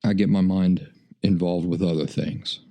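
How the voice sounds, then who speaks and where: A man's voice: low voice, fairly even intonation, moderate pace, gravelly, warm tone. An American man hosting a podcast, telling stories in a folksy, self-deprecating way. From United States. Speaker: podcast host. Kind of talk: casual storytelling monologue.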